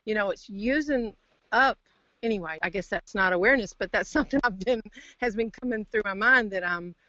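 A woman calling in to share casual reflections, halting and searching for words, over a phone line. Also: mid-range voice, pushed and bright, no echo. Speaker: caller to a group call. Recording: dull dry phone line.

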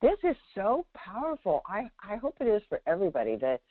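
A woman's voice: mid-range voice, huge pitch swings, brisk, no room sound. A woman teaching conversationally on a spiritual call, explaining with emphatic illustrations. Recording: tinny, dry phone line.

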